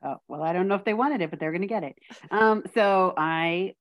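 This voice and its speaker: A woman's voice: lowish voice, a little fast. A woman from Texas chatting as a podcast guest, joking and poking fun at herself. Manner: joking, self-deprecating storyteller. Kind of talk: casual chat.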